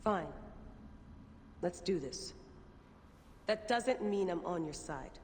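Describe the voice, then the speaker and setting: An actress playing a defiant, sharp-tongued young scientist in a video game, snapping back at everyone. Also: female voice, fairly low pitch, expressive, slow pace. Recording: roomy game audio with noise.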